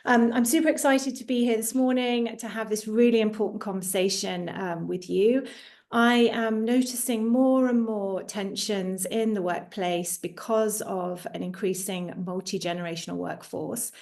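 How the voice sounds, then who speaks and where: British woman 40s, coach talking on a webinar, medium pitch, lots of ums and pauses. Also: fairly even intonation, a little mellow. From United Kingdom. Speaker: executive coach and guest presenter. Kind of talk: introducing herself in a live online masterclass. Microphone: video call.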